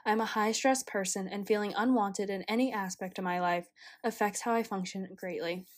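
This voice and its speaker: A young woman in college, from China and raised in the United States, reading a quiet, reflective personal narration. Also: mid-range voice, fairly even intonation, normal speed. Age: young adult. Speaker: college student.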